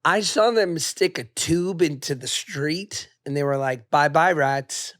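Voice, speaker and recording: Podcast host, male, 30s, a touch hoarse, playful teasing banter, dry close sound. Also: fairly high pitch, measured pace, no room sound. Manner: playful, teasing, laughs often; tells anecdotes in an animated way. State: slightly hoarse. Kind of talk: casual chat.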